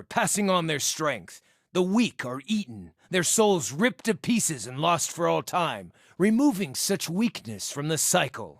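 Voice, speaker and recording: A crude, sardonic druid of the wilds from a fantasy video game. His voice sits high and moves in big theatrical swings, full of wry bite. The recording is dry and close. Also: fairly bright tone, no room sound, heavily acted. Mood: solemn. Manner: wry, theatrical, flowery insults. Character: sardonic, crude wild druid hunter, adult man.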